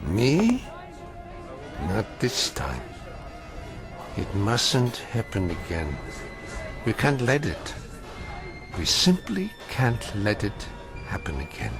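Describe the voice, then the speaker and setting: An actor playing a weary, anguished older German immigrant in a radio drama, speaking with bitter, emphatic rhetoric. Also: male voice, fairly low pitch, huge pitch swings, music in the background, full character voice. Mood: haunted, weary. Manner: slow, emphatic, bitterly rhetorical with repeated phrases. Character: weary old German immigrant man, anguished, defiant, scornful of fascists. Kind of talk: theatrical radio drama acting.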